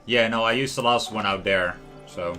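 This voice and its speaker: A man's voice: fairly low pitch, moderate pace. A man giving casual, rambling gameplay commentary as a livestream host, chatting to viewers as he goes. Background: lo-fi music and game sound.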